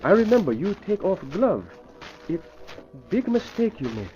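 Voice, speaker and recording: An actor playing a loyal, deferential manservant who speaks clipped broken English in an old radio crime drama. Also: male voice, sits high, big pitch swings, moderate pace, noisy background. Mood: anxious, deferential. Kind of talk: 1940s radio drama acting. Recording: muffled old radio recording.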